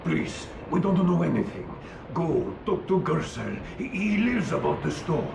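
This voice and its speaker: A man playing a timid, frightened villager in a video game, stammering and pleading in a creaky voice. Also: fairly high pitch, big pitch swings, unhurried, exaggerated voice. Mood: fearful. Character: frightened, timid male villager hiding indoors. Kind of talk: video game cutscene acting. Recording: roomy echo with clear background noise.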